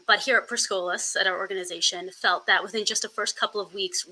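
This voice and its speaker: Very bright-voiced woman panelist. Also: medium pitch, low-quality recording.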